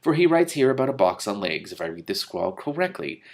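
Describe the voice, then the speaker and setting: A man's voice: lowish voice, very animated, brisk. Man reading as a shrewd thieves' boss. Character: shrewd, pleasant-mannered male thieves' boss.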